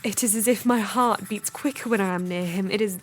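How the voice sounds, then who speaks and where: An actress playing a romantic young upper-class English Victorian lady, narrating her diary in a measured, wistful way. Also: medium pitch, a little hiss or hum, close to an everyday voice. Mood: wistful, lovestruck. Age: young adult. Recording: music under the narration.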